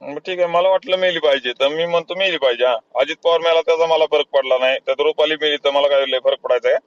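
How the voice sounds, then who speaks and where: A man's voice: on the high side, fast talker, no echo. A man with a Maharashtrian accent speaking bluntly and dismissively in a casual phone conversation. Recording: dull lo-fi leaked phone call recording.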